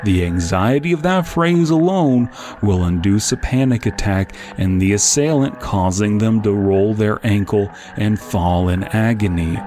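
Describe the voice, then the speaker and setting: A man narrates scripted comedy for a podcast. His pitch is on the low side, and he delivers mock instructions deadpan. Soft music plays behind him.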